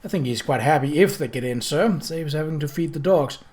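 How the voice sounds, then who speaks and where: Man doing a jumpy old postman, squeaky, stammers, eager. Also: a little fast, lightly acted. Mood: chatty. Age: older. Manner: high, excited, stammering.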